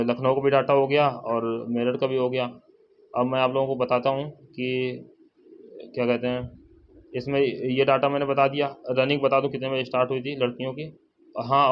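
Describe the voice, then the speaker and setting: A man giving a casual, informal update to viewers, repeating points and addressing them familiarly as brother. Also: mid-range voice. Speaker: exam-update YouTuber and channel host. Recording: dull lo-fi sound, faint noise, birds.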